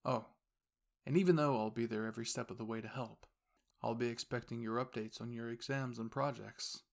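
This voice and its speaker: A man's voice: medium pitch, steady tone, vocal fry, lightly acted. A man voicing a composed, formal young university student and caring boyfriend, speaking intimately and reassuringly to the listener in an audio roleplay. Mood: quietly affectionate. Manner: measured, formal, gently reassuring phrasing.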